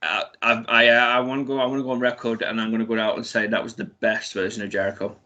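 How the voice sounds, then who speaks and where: A man's voice: on the low side, level tone, fast talker, sharp tone, dull audio. A man co-hosting a casual wrestling chat, talking eagerly and often stumbling over his words. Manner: stammering restarts, enthusiastic. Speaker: podcast co-host.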